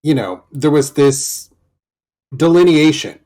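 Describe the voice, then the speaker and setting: A man's voice: fairly high pitch, lively intonation, slow, mellow. A man hosting a podcast, asking his guest questions in a casual interview. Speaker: podcast host.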